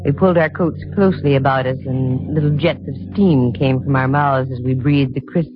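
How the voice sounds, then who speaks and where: A woman's voice: extremely low pitch, moderate pace. Poised woman private eye, narrow old-radio sound. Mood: reflective narration. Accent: American. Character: poised, inquisitive American female private investigator. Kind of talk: 1950s radio drama acting and first-person narration. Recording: muffled, narrow-band vintage radio recording with music.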